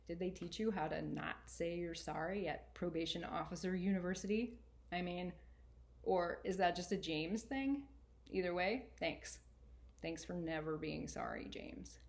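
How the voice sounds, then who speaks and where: A woman voicing a sarcastic, earnest boy narrator in an expressive, colloquial first-person storybook reading. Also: lowish voice, unhurried. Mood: grudgingly grateful. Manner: colloquial, exasperated, emphatic.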